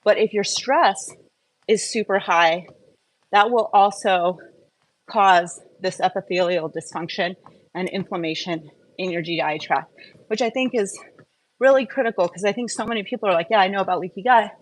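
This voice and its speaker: A woman's voice: mid-range voice, normal speed, low-quality recording. Woman explaining things on an informal live stream. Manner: conversational, explains step by step with rhetorical questions. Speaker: host of a live wellness stream. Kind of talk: informal explanatory talk to a live online audience.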